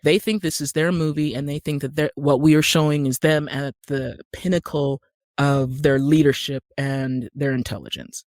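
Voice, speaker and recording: A woman with an American accent, talking as an interview guest in a conversational, animated storytelling way that acts out bits of dialogue. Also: sits low, normal speed, no room sound, clean studio sound. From Utah, United States. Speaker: guest, actor and screenwriter.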